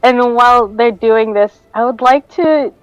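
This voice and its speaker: A woman's voice: a little high, unhurried, smooth, no room sound, highs cut off. A woman playing a tabletop role-playing game, casually describing what her character does.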